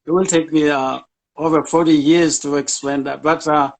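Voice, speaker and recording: A man's voice: on the high side, little pitch movement, moderate pace, gravelly, rough recording. A man from Haiti, a charity founder being interviewed, talking casually with frequent fillers and restarts. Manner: hesitant, many fillers and restarts.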